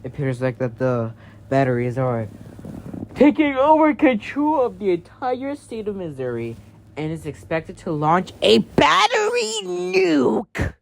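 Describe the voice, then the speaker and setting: A man acts out a flustered, easily panicked emergency newsreader in an amateur parody broadcast. The formal announcements keep slipping into rambling, with pitch that swings widely over light background noise. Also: wildly swooping pitch, a little slow, gravelly, full character voice. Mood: formal, halting. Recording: noisy amateur home recording.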